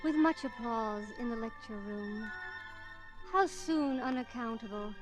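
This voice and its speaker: A woman's voice: a little high, unhurried, lightly acted, low-quality recording. Actress as dramatic woman reciting over music. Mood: solemn, wistful. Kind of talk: 1940s radio drama acting.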